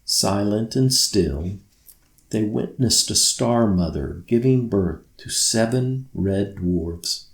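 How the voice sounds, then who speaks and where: Slow gentle guy reading a bedtime storybook, kinda echoey room. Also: lowish voice, expressive. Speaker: author reading own story. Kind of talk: scripted storybook narration. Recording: roomy, echoey sound.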